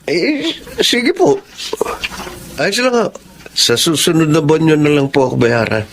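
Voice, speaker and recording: An actor playing an earnest, hard-luck young Filipino bachelor who dreams of teaching, in a radio drama style. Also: male voice, a little high, lively intonation, unhurried, slight background noise. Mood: polite, subdued. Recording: music bed under the voice.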